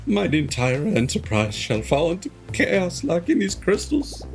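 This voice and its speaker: A man's voice: high voice, wildly swooping pitch, measured pace, soft background music, voice pushed up, full character voice. A man voicing a pompous video game villain with melodramatic, formal delivery. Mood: dramatic dismay.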